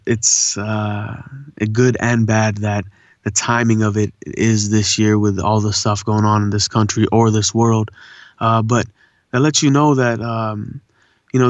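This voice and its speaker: A man's voice: lowish voice, flat delivery, a little slow, no room sound. Laid back socal guy with urban swag, talks earnest, says man a lot, kinda muffled dry audio. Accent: Southern California urban. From India. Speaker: guest voice actor and poet. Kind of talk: casual interview chat.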